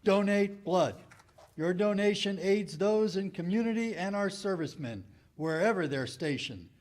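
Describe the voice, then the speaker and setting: An actor as a wartime radio announcer of the 1940s. His voice is high for a man, and he reads a public appeal formally and earnestly. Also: expressive, normal speed, darkish tone.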